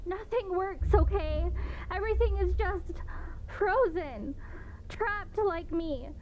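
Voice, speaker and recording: Trapped victim, horror audio drama: very high, slow, pleading and terrified, on a dull, faintly noisy recording. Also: female voice. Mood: frozen dread. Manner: halting, pleading. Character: terrified, despairing woman trapped in her apartment by a creature.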